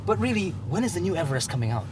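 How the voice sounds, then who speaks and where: A man's voice: sits high, big pitch swings, rapid-fire, very noisy. A young man interviewing a guest in a car, playful and teasing. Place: inside a car.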